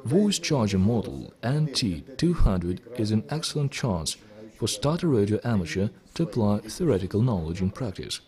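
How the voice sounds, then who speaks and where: A middle-aged man giving formal, deliberate scripted instructional narration as a presenter of technical videos. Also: lowish voice, sing-song, darkish tone. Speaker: presenter of electronics kit videos.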